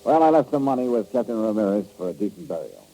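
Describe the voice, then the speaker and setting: Wry, dark-toned American radio detective. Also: male voice, fairly low pitch, big pitch swings, fast, low-quality recording. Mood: wry, reflective. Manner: wry, clipped, hard-boiled. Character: tough, sardonic American insurance investigator, adult man. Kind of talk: old-time radio detective acting and narration. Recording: old radio recording with faint music.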